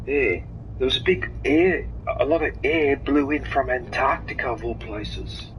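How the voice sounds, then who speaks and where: A gaming streamer, talking to his viewers in a loose, chatty way and reacting aloud to every turn of the game. His voice sits at an ordinary male pitch. A steady hum of noise runs under the recording. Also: measured pace, poor audio. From Italy. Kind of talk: casual livestream gameplay commentary.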